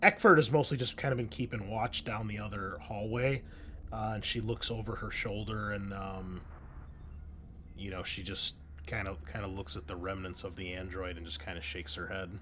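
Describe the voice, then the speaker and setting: A man running a tabletop roleplaying game as game master, narrating the action in a conversational, halting way. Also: on the low side, steady tone, a bit bright, slight background noise. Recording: faint music behind the voice. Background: faint music.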